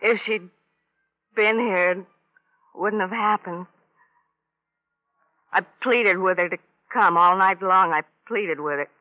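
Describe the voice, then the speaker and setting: A muffled old radio recording of an actress playing a drunken party girl in a crime drama. Her talk is slow and slurred, rambling along with bitterness and grief. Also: medium pitch, steady tone, lightly acted. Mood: dazed, grieving.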